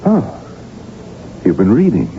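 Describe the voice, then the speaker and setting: Very deep, gravelly, calm doctor. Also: male voice, takes it slowly, heavily acted. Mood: grave, probing. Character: calm, probing male doctor and old friend. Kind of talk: 1980s radio drama acting. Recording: dull, hissy old radio recording.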